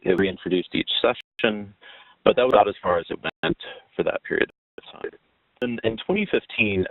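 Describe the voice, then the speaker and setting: A man giving an informal explanatory presentation in a halting way, pausing mid-sentence, over a muffled phone-like line. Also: low voice, dry recording. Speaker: webinar presenter.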